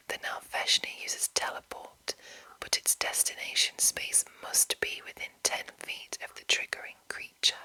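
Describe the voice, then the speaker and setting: A woman whispering in a breathy, unvoiced voice as an ASMR host, reading deliberately with soft enunciation. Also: poor audio. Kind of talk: whispered ASMR reading of a game manual with casual asides.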